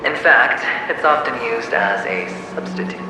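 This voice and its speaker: A sardonic, taunting male doppelganger from a video game, heard through a heavy echo over loud music. He draws his words out with mocking relish, his pitch swooping up and down. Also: expressive. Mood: gleeful, needling. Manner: sardonic, theatrical, drawn-out mocking delivery. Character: taunting mocking inner self of a cunning gambler, male. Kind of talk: theatrical video game voice acting. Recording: heavily echoing, with music behind.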